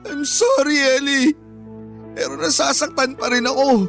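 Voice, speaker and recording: High pitched heartbroken pinoy boyfriend, earnest radio drama, faint music behind. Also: male voice, lively intonation, measured pace, creaky, a bit bright, full character voice. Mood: hurt, tearful. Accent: Filipino. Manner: emotional, pained, earnest delivery. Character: wounded, devoted young working-class boyfriend, pleading and proud. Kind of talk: Filipino radio drama acting.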